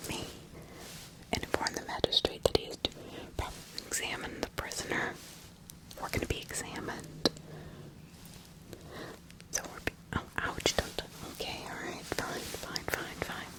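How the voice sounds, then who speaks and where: A woman voicing a frightened poor farmer accused of witchcraft in an ASMR roleplay, in a breathy whisper, halting and repeating anxious questions. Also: lightly acted. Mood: anxious, bewildered, then panicky, protesting.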